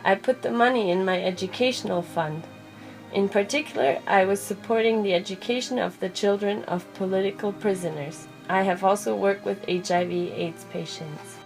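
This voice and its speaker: A woman reading a scripted first-person biographical narration in a measured, simply phrased storytelling way. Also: mid-range voice, low-quality recording. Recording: music behind the voice. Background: background music.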